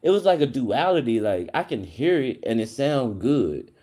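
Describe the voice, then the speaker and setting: A man's voice: medium pitch, sing-song, normal speed, mellow. A man chatting casually with a friend, rambling and slangy, restarting his sentences. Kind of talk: casual chat.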